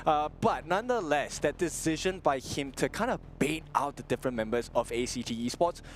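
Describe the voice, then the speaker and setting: A man giving post-game esports analysis on a studio desk, talking loudly and animatedly and laughing easily. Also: a little high, a little hiss or hum. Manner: loud, animated, quick to laugh.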